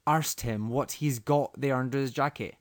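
A woman voicing a shrewd, gruff but kind countryman gamekeeper who talks in a rough country dialect. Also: a bass voice, normal speed, dry recording, clean studio sound, voice pushed down.

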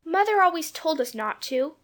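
A man voicing a small, bright, naive little girl in an audiobook. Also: extremely high pitch, big pitch swings, normal speed, voice pushed up, heavily acted. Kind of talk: audiobook character voice.

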